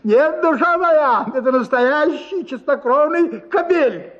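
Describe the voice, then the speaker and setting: High-pitched, swooping, tipsy army officer. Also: male voice, lively intonation, takes it slowly, a bit bright, heavily acted. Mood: mocking amusement. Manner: theatrical, effusive, chuckling and drawling. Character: tipsy, boastful middle-aged army officer, wheedling and blustering. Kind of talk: dramatized radio short story, partly sung. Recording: slightly muffled, guitar music behind.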